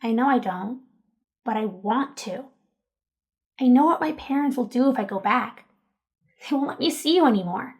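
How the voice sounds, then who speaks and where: Woman playing high-voiced, earnest teenage girl. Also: animated, normal speed, long pauses, a little mellow, lightly acted. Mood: determined. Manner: hesitant, earnest, stammering when flustered. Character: Jude, sheltered church girl turned defiant, tender teenager. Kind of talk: audio drama dialogue.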